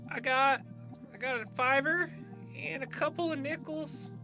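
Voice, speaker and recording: A timid eleven-year-old boy who stammers and says sir. The voice sits high. Music runs clearly under the scene. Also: measured pace. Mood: nervous. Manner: nervous, meek.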